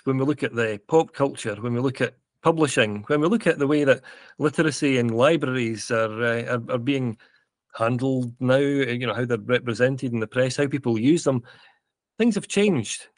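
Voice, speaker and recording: A man hosting a podcast, speaking in a measured, formal way. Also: mid-range voice, dry recording, clean studio sound. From Scotland. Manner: measured and formal, with a gentle introduction. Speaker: podcast host. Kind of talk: podcast interview.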